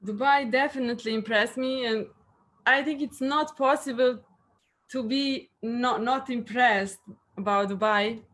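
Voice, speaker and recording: A young woman speaks English with a Serbian accent, her voice sitting a little high. She answers in halting stretches, repeating words and reaching for fillers as she goes. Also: fairly bright tone. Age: young adult. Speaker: guest. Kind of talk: interview answers.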